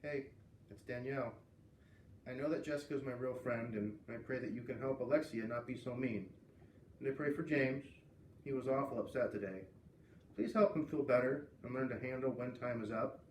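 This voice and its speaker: A man's voice: medium pitch, normal speed, darkish tone, echoey room, recorded from a distance, natural voice, low-quality recording. Kind storybook schoolgirl, read by a man: earnest, plain-spoken, heard off-mic with faint noise. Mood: sorry, earnest. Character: kind, upset farm girl, earnest young student.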